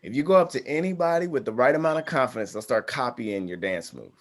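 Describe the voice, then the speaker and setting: A man's voice: medium pitch, expressive, quick pace, close to an everyday voice. A man playing an easygoing, confident young man in a casual, conversational table read of a screenplay. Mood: playful.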